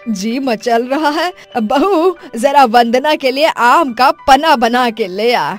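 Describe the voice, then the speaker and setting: A woman's voice: high-pitched, animated, takes it slowly, faint music behind. A woman playing a dismissive middle-aged mother-in-law in an acted family story, with a colloquial, chiding way of talking. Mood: brisk, dismissive. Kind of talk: acted dialogue for an animated moral story.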